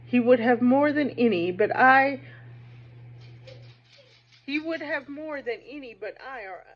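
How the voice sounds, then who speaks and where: A woman's voice: high-pitched, lively intonation, unhurried. A woman reading aloud as a cruel, gloating man from a gothic novel. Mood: smug gloating. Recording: dull, muffled lo-fi recording.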